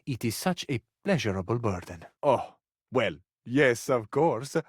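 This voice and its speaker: A man's voice: mid-range voice, expressive, unhurried, husky, no echo, clean studio sound. A man playing a passionate, sensitive living cabinet in a video game, with an Italian accent and florid, theatrical, romantic delivery. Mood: tender, yearning. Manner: florid, dramatic, wounded romantic phrasing.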